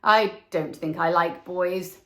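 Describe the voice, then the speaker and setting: A woman's voice: fairly low pitch, fairly even intonation, slow, pitched below the performer's own voice. A woman voicing a proud, boastful little male swallow in a storybook, with haughty, emphatic delivery. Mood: haughty, indignant.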